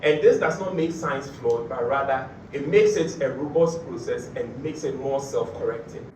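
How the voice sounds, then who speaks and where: A man's voice: mid-range voice, moderate pace, darkish tone, distant mic, a little hiss or hum. Man presenting in an echoey hall. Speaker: graduate student presenter. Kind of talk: competition thesis talk. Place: auditorium.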